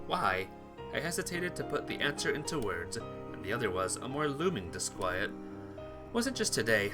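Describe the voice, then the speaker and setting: A man reading visual novel text aloud during a playthrough, sometimes stumbling and rereading phrases. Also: mid-range voice. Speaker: video game player reading aloud.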